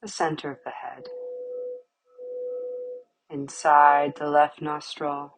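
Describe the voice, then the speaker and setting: A woman guiding a yoga nidra relaxation in a calm, soothing manner, with short, spaced phrases. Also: sits low, slow, a little hiss or hum. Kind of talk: guided relaxation meditation.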